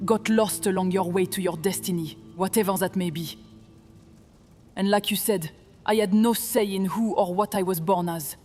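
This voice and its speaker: An actress playing a defiant young knight heroine, speaking in a measured, solemn way in a fantasy audio drama. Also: female voice, medium pitch, darkish tone, lightly acted. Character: defiant young female knight, prophesied vampire-fighting heroine. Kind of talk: dramatic audio fiction acting. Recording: long hall reverb, faint music.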